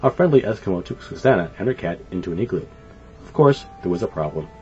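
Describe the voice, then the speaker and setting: Animated low-voiced man reading a children's story. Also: fast. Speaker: storybook reader. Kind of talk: scripted children's story narration. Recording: dull lo-fi sound. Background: light music.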